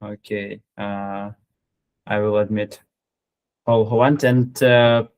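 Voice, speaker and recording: A man hosting an online meeting as an educational facilitator, speaking informally and haltingly in non-native English phrasing. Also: lowish voice, steady tone, a little mellow, clean tone. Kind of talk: hesitant, informal stream hosting.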